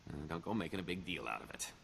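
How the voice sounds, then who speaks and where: A roguish con man from a video game. His deep voice moves freely up and down, wry and theatrical, hiding a wistful streak behind glib bravado. Clear background ambience sits behind him. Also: animated, fast, fairly bright tone, a little hiss or hum, full character voice. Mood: upbeat, boastful. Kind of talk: video game character dialogue.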